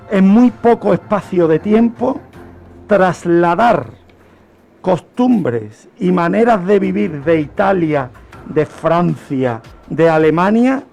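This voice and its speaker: A man with a Spanish accent from Spain speaks in a high voice over faint music. He takes his time, explaining history like a teacher on the radio and putting questions that he then answers himself. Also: expressive, slow, creaky, darkish tone. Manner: didactic, poses questions and answers them. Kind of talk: unscripted radio history storytelling.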